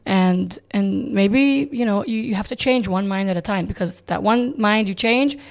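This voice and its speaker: A woman's voice: medium pitch, moderate pace, vocal fry. A woman born in Israel and raised in the US, a realtor guest speaking earnestly in a podcast chat, often stopping and restarting. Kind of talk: casual chat.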